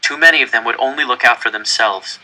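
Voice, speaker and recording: A man's voice: mid-range voice, quick pace, bright, forceful tone, rough recording. A man reading a first-person story aloud dramatically, with an earnest, intense and emphatic delivery. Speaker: audiobook reader.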